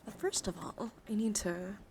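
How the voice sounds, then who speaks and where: A woman hosts an ASMR livestream in a soft voice that often drops to a whisper. Her pitch sits in the middle, breathy and gentle. She rambles intimately to viewers close to the mic, with clear ambient noise behind her. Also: normal speed. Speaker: livestreamer and VTuber host. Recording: clearly noisy ambient background.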